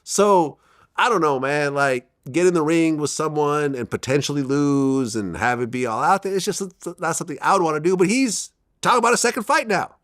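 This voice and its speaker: A man in his fifties, a guest in a candid interview, telling stories bluntly and dropping his voice low for emphasis. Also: brisk, no echo, clean studio sound. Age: 50s. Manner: blunt, animated storyteller who drops his voice low for emphasis.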